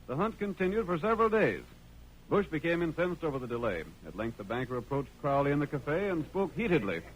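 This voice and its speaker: Higher-pitched male old-time radio narrator. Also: big pitch swings, brisk. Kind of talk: scripted 1940s radio narration. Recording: noisy vintage radio recording.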